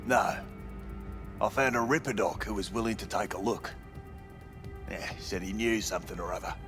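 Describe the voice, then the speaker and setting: A man's voice: lowish voice, moderate pace, close to an everyday voice. Sleazy, snide brothel boss played by a man, music behind. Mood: matter-of-fact, cynical. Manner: snide, slangy, crude and dismissive. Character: sleazy, cynical male brothel manager in a cyberpunk city. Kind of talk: video game dialogue acting.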